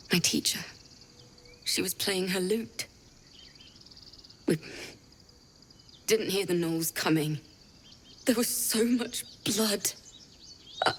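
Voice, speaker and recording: A woman playing a grieving young tiefling bard in a video game, gentle and earnest, speaking in a halting, hushed, confiding way. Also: fairly low pitch, a little hiss or hum. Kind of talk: dramatic video game dialogue.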